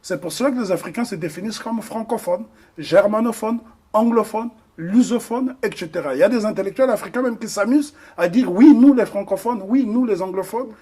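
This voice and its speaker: Man, high-pitched, husky, emphatic interview guest, hesitant fillers. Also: fairly quick, dark tone. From Republic of the Congo. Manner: earnest, emphatic with many hesitations. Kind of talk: interview discussion.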